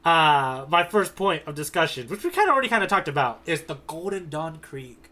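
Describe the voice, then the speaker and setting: A man co-hosting a podcast, recapping a story in casual, slangy and animated talk. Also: a little high, brisk. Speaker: podcast co-host, tour guide. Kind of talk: casual recap chat about a manga.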